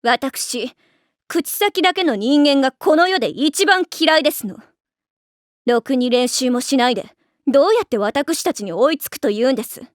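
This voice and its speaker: A prim, pompous schoolgirl of the refined young-lady type in an anime. Her voice is high and swings widely in pitch. She is strict and sharp-tongued, in a dry recording. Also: expressive, moderate pace, dry recording. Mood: stern, scornful. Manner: prim, pompous ojou-sama diction. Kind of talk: anime voice acting.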